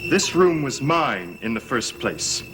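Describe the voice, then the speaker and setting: A man's voice: medium pitch, very animated, takes it slowly, background noise. An actor playing a sly, composed stepson who taunts his stepfather in an arch, formal way in a vintage gothic TV soap. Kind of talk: 1960s-70s gothic TV soap acting. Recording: old TV soundtrack under loud music.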